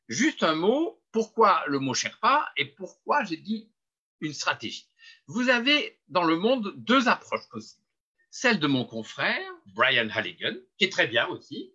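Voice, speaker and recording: Older french guy giving a webinar talk, bit husky, kinda high pitched, muffled lo-fi sound. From France. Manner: animated, emphatic lecturing with self-deprecating humor. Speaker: webinar speaker. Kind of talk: marketing webinar presentation. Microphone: webinar computer mic.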